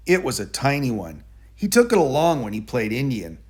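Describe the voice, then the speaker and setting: A man narrating a children's picture book aloud in a deliberate, explanatory manner. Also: medium pitch, huge pitch swings, husky. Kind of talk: children's storybook read-aloud.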